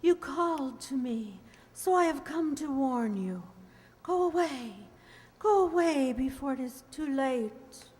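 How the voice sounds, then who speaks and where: A woman playing a veiled, solemn ghost in a radio play, giving eerie, drawn-out warnings. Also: high voice, lively intonation, darkish tone. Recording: very echoey hall, faint noise.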